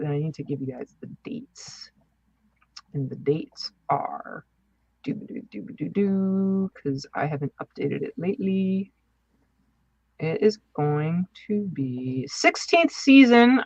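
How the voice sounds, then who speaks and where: A woman's voice: low-pitched, vocal fry, a little mellow, no echo, muffled recording. A woman co-hosting a podcast in casual, chatty and informal style, speaking with enthusiasm. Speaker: podcast co-host.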